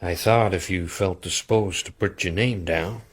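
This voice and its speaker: An actor in a radio drama playing a blunt businessman, husky and folksy. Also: low voice, measured pace. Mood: matter-of-fact. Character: blunt American businessman bearing grim news.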